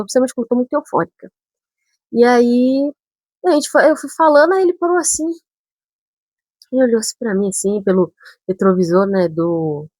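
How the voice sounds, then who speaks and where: Chatty young Brazilian woman, husky. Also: mid-range voice, dry recording. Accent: northern Brazilian touches mixed with São Paulo speech. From São Paulo, Brazil. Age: 20s. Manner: chatty, animated, self-interrupting with asides. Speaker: vlogger. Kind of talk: casual storytelling to camera.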